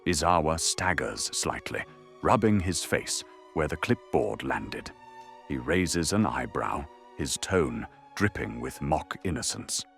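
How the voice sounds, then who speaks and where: A man's voice: a bass voice, big pitch swings, slow pace, vocal fry, fairly bright tone, soft background music. A man narrating an audio drama in a dramatic, scripted storytelling style.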